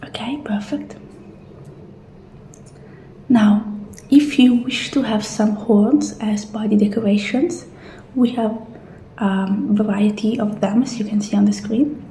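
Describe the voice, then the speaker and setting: A woman doing a soft-spoken ASMR roleplay as an assistant, often dropping into a whisper, with gentle, reassuring guidance. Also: medium pitch, takes it slowly, pauses often. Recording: roomy echo, faint background noise.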